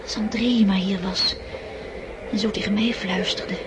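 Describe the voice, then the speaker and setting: A woman's voice: mid-range voice, steady tone, unhurried, background noise, lightly acted. A woman acting an impulsive, lovesick young countess in a Dutch radio drama. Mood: wistful. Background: music.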